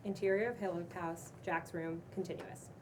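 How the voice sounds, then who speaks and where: A woman reading screenplay stage directions aloud in plain, measured narration. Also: lowish voice. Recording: distant mic, noisy room.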